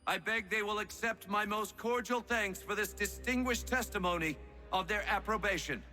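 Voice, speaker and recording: An actor playing a humble, formal commander making a stately speech in a video game cutscene. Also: male voice, high voice, normal speed, bright, forceful tone, faint music behind. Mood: solemn, humble. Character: formal, humble male commander addressing a congress.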